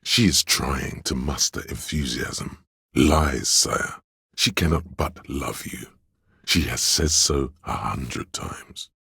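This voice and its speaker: A scheming, theatrical servant of drama from a video game, counselling his liege in sly, archaic flourishes. The voice is profoundly low and thick with gravel. It is recorded close and very dry. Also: slow, sharp tone, no echo, full character voice. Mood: knowing, courtly. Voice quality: gravelly, husky.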